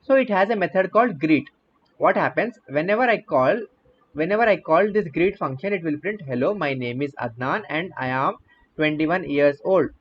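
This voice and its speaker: A man's voice: on the high side, expressive, normal speed. A young man, teaching an online coding class and often repeating and rephrasing his points. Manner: explanatory, repeats and rephrases himself. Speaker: coding bootcamp instructor. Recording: slightly dull audio with faint noise.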